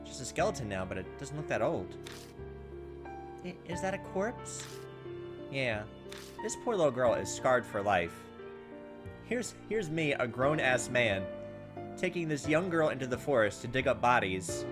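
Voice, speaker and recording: A young man reading a visual novel aloud, mixing dramatic narration with casual, informal commentary. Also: mid-range voice. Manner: reads in-game text dramatically, comments informally. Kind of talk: game narration with casual asides. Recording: music behind the voice. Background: video game music.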